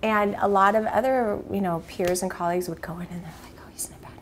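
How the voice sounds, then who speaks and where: Female guest executive, middle pitch, candid and laughing easily, faint noise. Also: fairly even intonation. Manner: warm, candid, quick to laugh. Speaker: sports business executive. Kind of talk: conversational interview.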